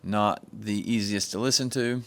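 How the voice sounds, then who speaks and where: A man with a rough, somewhat low voice talks through his engraving gear in a relaxed, rambling way. He wanders and restarts his sentences, filling the gaps with ums. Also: unhurried. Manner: meandering, with frequent ums and restarts. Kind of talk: casual show-and-tell explanation. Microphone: microphone.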